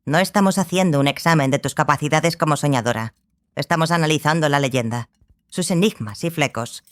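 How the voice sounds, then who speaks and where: A woman playing a learned, exacting small sorceress who mentors a student, speaking in a measured, matter-of-fact way in an audiobook drama. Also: low voice, lightly acted. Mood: composed, probing.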